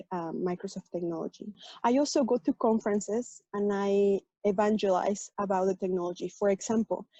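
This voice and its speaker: A woman from Mexico speaks English with a Mexican accent, Spanish being her first language. Her voice is mellow and relaxed at a medium pitch, and she explains things patiently with small restarts. The sound is dry and a bit dull, as from a video call. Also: no room sound. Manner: earnest, explanatory, with fillers and restarts. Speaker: tech program manager, panel guest. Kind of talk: personal story on a virtual panel.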